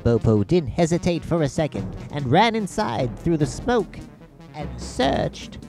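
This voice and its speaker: A man reading a children's story in an expressive, unhurried storytelling manner. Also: a little high. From Britain. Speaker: storybook reader. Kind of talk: scripted children's story narration. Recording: music playing behind the narration. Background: music.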